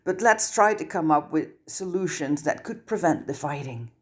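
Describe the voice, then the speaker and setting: A woman's voice: sits low, lively intonation, moderate pace. A woman voicing a bookish, easily outraged teenage witch in an audiobook, with an urgent, emphatic way of talking. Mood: earnest caution.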